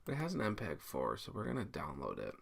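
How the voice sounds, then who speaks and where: A man's voice: low-pitched, huge pitch swings, fast, vocal fry. A man giving a casual screencast tutorial, explaining each step informally and thinking aloud as he works. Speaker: teacher giving a software tutorial. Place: indoors at a computer, screen recording.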